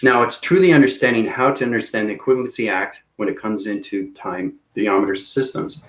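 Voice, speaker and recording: Guy rambling on about cosmic stuff, low voice, repeats himself a lot. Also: a little fast. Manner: rambling, repetitive, laughs at himself. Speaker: video host. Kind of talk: rambling cosmic monologue to viewers.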